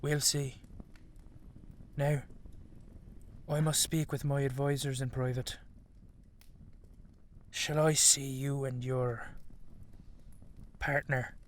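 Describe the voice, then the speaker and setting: A man's voice: mid-range voice, flat delivery, slow, pauses often, lightly acted. A man playing a stern, weary dragon king and father in an audio drama, with a grave, regal delivery. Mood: gruffly conceding. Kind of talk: scripted audio roleplay drama.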